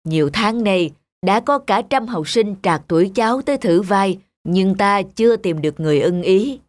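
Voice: mid-range voice, animated, normal speed, dry recording, natural voice. An actor playing a kindly film director in a cartoon, speaking in a reassuring, encouraging manner. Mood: kindly, calm. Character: kindly grown male film company director. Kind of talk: animated moral tale dialogue. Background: background music.

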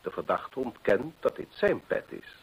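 An actor playing a keen, self-assured detective in a radio play, precise and emphatic, with a husky voice. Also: male voice, mid-range voice, sing-song, normal speed, rough recording. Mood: keen, explaining. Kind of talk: Dutch radio play acting. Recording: old radio play recording.

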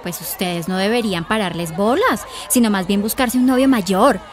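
A woman's voice: mid-range voice, normal speed, over music, lightly acted. An actress playing a lovestruck, naive seventeen-year-old Colombian schoolgirl in a radio soap opera, gushing and girlish. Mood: gushing, proud.

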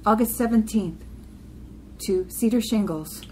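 A woman's voice: mid-range voice, measured pace, warm tone, slight background noise, poor audio. A woman giving a scripted poetry reading in short, separate phrases.

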